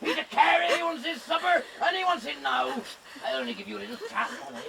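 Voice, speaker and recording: An actor playing a brutal, drunken sea captain in a radio drama, shouting and laughing cruelly. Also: male voice, very high-pitched, lively intonation, quick pace, vocal fry, distant mic, heavy background noise, exaggerated voice. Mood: raging.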